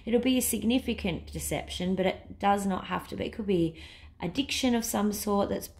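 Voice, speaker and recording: A woman reading tarot cards in a casual way while losing her voice to a cold, thinking aloud with many pauses and fillers and now and then dropping to a whisper. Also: medium pitch, darkish tone. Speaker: tarot reader.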